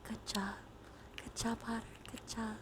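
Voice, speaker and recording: A woman's voice: on the high side, little pitch movement, measured pace. Whispering ASMR woman, noisy room. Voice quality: breathy whispering. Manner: soothing, encouraging, intimate. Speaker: live ASMR streamer. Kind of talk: ASMR, whispered and softly spoken reassurance. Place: small warm room with computers running. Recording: noisy room ambience behind voice.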